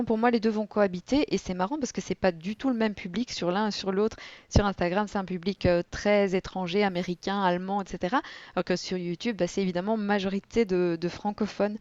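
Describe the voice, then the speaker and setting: A young woman speaking as a guest on a casual podcast, animated and chatty as she tells her stories. The audio is dull and lo-fi, and dry, with no room sound. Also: mid-range voice, no echo. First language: French. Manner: lively storytelling, animated. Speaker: guest, board game content creator. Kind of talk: relaxed podcast interview.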